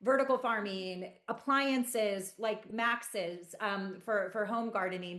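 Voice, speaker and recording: A woman's voice: medium pitch, echoey room. A woman moderating a casual panel chat, upbeat and giggly as she leads the questions.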